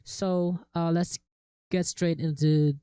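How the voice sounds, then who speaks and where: A man narrating a casual step-by-step software tutorial, with somewhat halting phrasing. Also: on the high side, level tone, very noisy. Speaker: tutorial host. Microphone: cheap desktop microphone with software processing.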